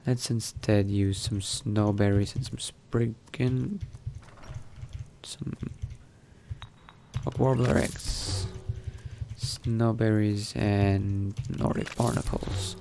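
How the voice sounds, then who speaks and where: Man, lowish pitch, slow musing pace, in-character gaming commentary, faint background noise. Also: fairly even intonation, a little mellow. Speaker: gamer narrating a roleplay playthrough. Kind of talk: in-character game commentary, thinking aloud.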